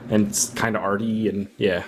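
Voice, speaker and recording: Lower-voiced man in casual film chat. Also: flat delivery, normal speed, rough recording. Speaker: film podcast co-host.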